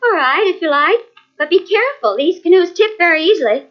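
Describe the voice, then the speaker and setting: Young heiress, very high voice, swooping intonation, trusting, radio drama, dull lo-fi recording. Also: female voice, animated, fairly quick. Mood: light, cautious. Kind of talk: 1940s radio drama acting. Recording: dull, lo-fi old radio cassette.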